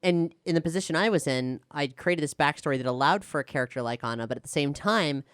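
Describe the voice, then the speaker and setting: Showrunner on a casual Q&A panel: smooth, close dry sound, candid, self-deprecating. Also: mid-range voice, quick pace, a bit bright, no echo. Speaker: showrunner, writer and player of an audio drama.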